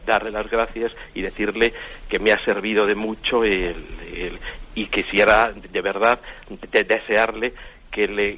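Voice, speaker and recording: A man's voice: lowish voice, sharp tone. A man from Madrid with a Spanish accent, calling in to a radio show and talking hesitantly with many stammers and restarts. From Madrid, Spain. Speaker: radio caller. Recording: lo-fi phone-in radio line.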